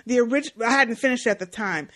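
A woman co-hosting a podcast in a casual, animated, playfully bickering style. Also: a little high, quick pace. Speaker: podcast co-host. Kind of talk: casual, chatty podcast interview.

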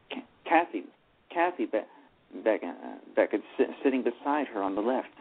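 A man narrating a live radio play, often stumbling and restarting his words. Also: medium pitch. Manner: reads with frequent stumbles and restarts. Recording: tinny phone-line radio broadcast.

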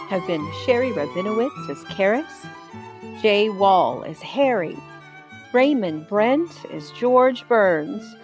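A woman narrator reading scripted radio drama credits in a measured, formal way. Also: mid-range voice. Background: violin music.

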